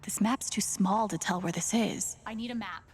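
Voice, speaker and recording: An actress playing a wary, determined young woman in a video game, thinking aloud in a hushed, breathy, wry way. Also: mid-range voice, normal speed, faint music behind, close to an everyday voice. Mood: uneasy, intent. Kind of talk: naturalistic video game acting.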